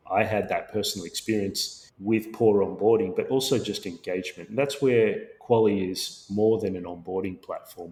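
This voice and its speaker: A middle-aged man with an Australian accent, born in Sri Lanka, giving measured, explanatory answers as a co-founder guest in a business interview. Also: low-pitched, slight background noise, poor audio. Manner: measured, explanatory, with occasional restarts.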